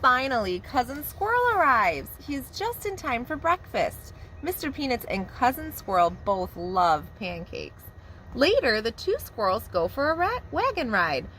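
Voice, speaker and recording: A preschool teacher reads a picture book to young children with warm, expressive storytelling. Her voice sits fairly high and swoops up and down a great deal. A faint hiss runs underneath. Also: measured pace, poor audio.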